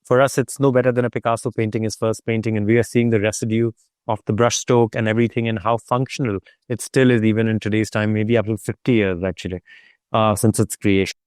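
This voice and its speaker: A man's voice: on the low side, level tone, fast, a little mellow, smooth, dry recording, studio quality. A man co-presenting an online talk, explaining informally in an enthusiastic, discursive way over a video call. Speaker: guest co-presenter and trip guide.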